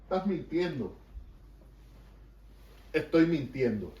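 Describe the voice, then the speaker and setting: A man's voice: fairly high pitch, sing-song, slow pace, mellow, muffled recording. A man with a Puerto Rican accent preaching fervently to a congregation as pastor, mixing in English. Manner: fervent, emphatic preaching that slips between Spanish and English. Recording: echoey room, mic at a distance.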